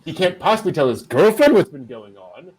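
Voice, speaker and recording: A man's voice: high voice, big pitch swings, brisk, a little mellow, breathy edge. A man hosting a casual anime news show, chatting and joking with a wry, amused delivery.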